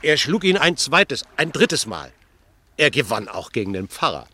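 An actor playing a wry, gossipy ship passenger in a radio play, telling tales in with a sardonic manner. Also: male voice, medium pitch, normal speed, slight background noise. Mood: amused storytelling. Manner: sardonic, chuckling, animated storytelling. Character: wry, worldly, gossipy male ship passenger, mocking raconteur. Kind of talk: German radio play dialogue.

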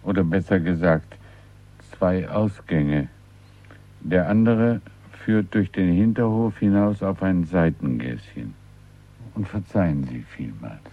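A man's voice: low-pitched, takes it slowly. A man playing an obliging, discreet shopkeeper in a German radio play, polite and apologetic. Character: obliging, discreet, courteous male shopkeeper letting a room. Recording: thin phone-like old radio recording, dry.

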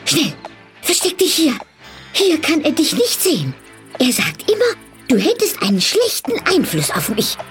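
A woman playing a frightened farmer's wife in a fairy tale, shrill, urgent and fretful. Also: huge pitch swings, unhurried, faint music behind, heavily acted. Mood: panicked. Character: frightened peasant farmer's wife hiding her visitor. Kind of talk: acted fairy-tale audio story dialogue.

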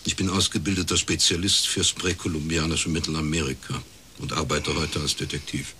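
Casting: middle-aged private eye, very dry and terse, low-pitched, crisp bright tone, radio drama. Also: male voice, flat delivery, brisk, slight background noise. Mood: dry, sceptical. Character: sceptical, laconic male ex-academic turned private detective. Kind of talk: radio crime drama acting and first-person narration.

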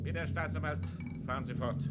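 An actor playing a stern, formal judge with a commanding manner in a 1970s radio crime play. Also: male voice, a little high, fairly even intonation, a little fast, loud music behind the voice, close to an everyday voice. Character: stern, formal male judge presiding over a trial.

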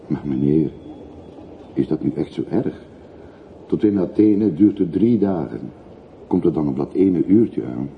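Very deep-voiced, chatty railway porter. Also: male voice, lively intonation, measured pace, long pauses, exaggerated voice. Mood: chatty, coaxing. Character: talkative, obliging older railway porter, easygoing local man. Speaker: actor in a radio play. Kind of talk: radio drama acting. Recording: muffled narrowband radio recording with hiss.

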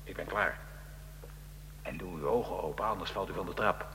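A man's voice: low voice, moderate pace, gravelly. An actor playing a grim man escorting a young lady in a radio drama, heard through noise and long reverb. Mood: grim, commanding. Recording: very noisy, long echoing stairwell-like reverb.

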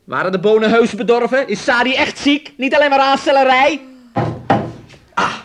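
A man's voice: very high-pitched, steady tone, moderate pace, fairly bright tone. An actor playing a menacing, mocking young man in a radio play, taunting and wheedling as he speaks of himself in the third person. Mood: mock-solicitous, manic. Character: menacing, mocking young man bullying his sick fiancée. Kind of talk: Dutch radio play acting.

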